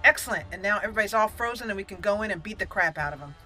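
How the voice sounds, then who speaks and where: A woman hosts a gaming video in a bright, forward voice. She chats playfully and slips into roleplay as she comments, with game music underneath. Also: mid-range voice, lively intonation, rapid-fire. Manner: playful, chatty. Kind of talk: casual let's play commentary with roleplay.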